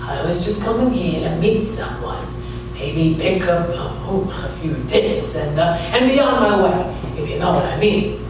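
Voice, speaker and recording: A man's voice: high voice, expressive, normal speed, background noise. A man playing a wry, hardboiled private detective who narrates a comic noir radio play with sardonic wisecracks. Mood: evasive, placating. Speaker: narrator and lead character. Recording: roomy, distant microphone, music behind.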